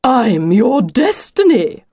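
A woman's voice: fairly high pitch, big pitch swings, takes it slowly, dark tone, slightly rough, no echo, clean studio sound, voice pushed up, heavily acted. A woman voicing a pompous, insistent male storybook wolf with a grandiose, wheedling, theatrical manner. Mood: pompous, coaxing. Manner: grandiose, emphatic, wheedling old-fashioned phrasing.

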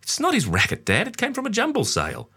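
A man's voice: sits high, huge pitch swings, fast, pushed and bright, husky, voice pushed up, exaggerated voice. A man voicing a whiny young boy in a storybook reading. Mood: whining. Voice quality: whining. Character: whiny young boy complaining about his racket.

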